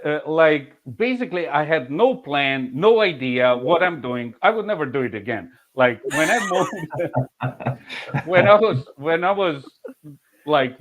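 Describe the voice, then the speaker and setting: A man in his forties from Serbia with a Serbian accent, an insurance claims manager telling his story in a relaxed interview, halting and full of restarts and soft laughs. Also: a little high. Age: 40s. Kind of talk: casual interview answers. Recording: muffled, lo-fi audio.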